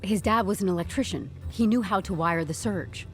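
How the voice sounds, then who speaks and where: An actress playing a composed, stubborn HR newcomer in an audio drama, speaking with deadpan corporate politeness and wry candour. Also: female voice, medium pitch, brisk, soft background music, close to an everyday voice. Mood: insistent. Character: composed, stubborn young HR newcomer turning interrogation into interview.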